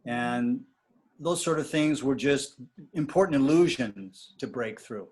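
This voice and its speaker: A man talking reflectively in a casual conversation with a dating coach, pausing and restarting often. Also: mid-range voice, lively intonation, darkish tone, slightly rough. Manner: reflective, halting with many restarts and fillers.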